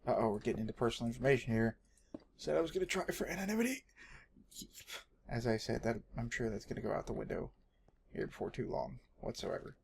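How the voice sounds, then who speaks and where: Male gaming host, Texan accent, average pitch, off-the-cuff and jokey, self-deprecating, homemade recording with slight noise. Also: sing-song, fast talker, gravelly, long pauses, a little mellow. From Texas, United States. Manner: jokey, self-deprecating, talks to himself while playing. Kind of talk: casual rambling gameplay commentary. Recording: home recording with faint background noise.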